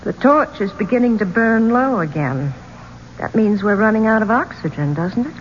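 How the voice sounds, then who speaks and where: A woman's voice: medium pitch, expressive, moderate pace, lightly acted. An actress playing a bold woman singer in a 1970s radio drama, speaking in a dramatic, emphatic way. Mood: accusing, defiant. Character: bold American woman singer cornered in an Egyptian tomb. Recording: muffled, noisy old radio recording.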